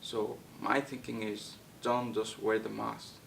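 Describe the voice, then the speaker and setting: A young man from Nepal, a guest actor, answering interview questions in a halting way with many fillers and restarts. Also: little pitch movement, creaky, a bit bright. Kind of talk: casual interview. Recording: distant mic with faint rumble.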